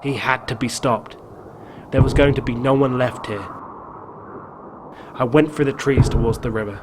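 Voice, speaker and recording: A man's voice: medium pitch, moderate pace, noisy background, lightly acted. A man playing a haunted, guilt-ridden middle-aged father narrating a horror story in a game. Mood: grim, haunted. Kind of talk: dramatic first-person story narration.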